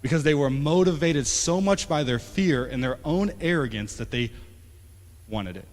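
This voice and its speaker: A man in his late twenties preaching a sermon as pastor, talking conversationally and swinging from emphatic to quiet. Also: fairly high pitch, lively intonation, normal speed. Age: 20s. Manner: conversational, rising to emphatic then dropping soft. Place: church sanctuary. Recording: long church hall reverb.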